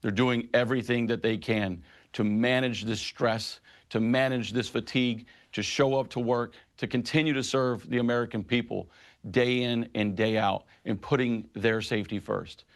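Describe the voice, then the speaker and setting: Man, middle-aged, union president, emphatic, passionate, mid-pitched voice. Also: fairly even intonation, normal speed. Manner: impassioned, emphatic advocacy. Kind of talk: news interview guest.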